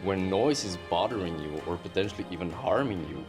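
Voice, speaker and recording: A man's voice: low voice, lively intonation, brisk. A man speaking as a company founder in an interview clip, emphatic and building rhythmic lists of repeated phrases. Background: music.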